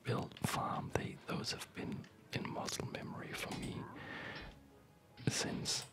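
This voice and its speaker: A man giving whispered ASMR game commentary as a video host, in a soft breathy whisper with a rambling, hesitant, unhurried manner.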